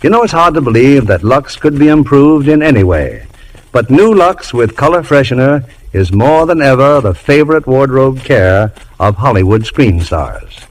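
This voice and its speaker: A male radio host with a medium-pitched voice. He presents formally and smoothly from a script, in the polished manner of 1950s announcers. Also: sing-song, moderate pace, poor audio.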